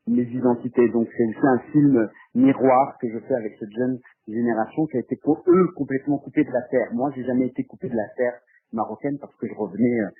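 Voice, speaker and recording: A man's voice: medium pitch, slightly rough. A man from France, giving spontaneous interview answers as a filmmaker, hesitant and often repeating words as he searches for phrasing. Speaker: documentary filmmaker being interviewed. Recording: muffled, phone-like narrow band.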